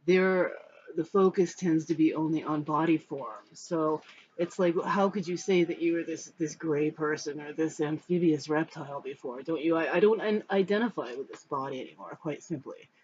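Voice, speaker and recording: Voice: medium pitch, normal speed, a little mellow. American in their fifties, dull noisy recording. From Los Angeles, United States. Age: 50s. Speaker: spiritual storyteller. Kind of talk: personal talk to camera, answering questions. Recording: dull, muffled sound with background noise.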